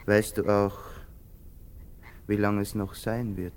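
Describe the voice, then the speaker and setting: A tormented, menacing lover in a radio drama. His voice sits low and moves slowly, in halting phrases that repeat obsessively. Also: little pitch movement, darkish tone. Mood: brooding, ominous. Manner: halting, repetitive, muttered phrases breaking into frenzy. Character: tormented, brooding man turning murderous on his lover. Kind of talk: literary radio drama acting.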